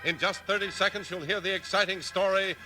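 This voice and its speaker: A man's voice: sits high, fairly even intonation, brisk, over loud music. A man announcing and narrating in a scripted 1950s radio style.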